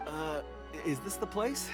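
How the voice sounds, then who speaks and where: An actor playing a forgetful, jovial assistant who chuckles easily and talks in an easygoing, absent-minded way. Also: male voice, fairly high pitch, lively intonation, unhurried, over music.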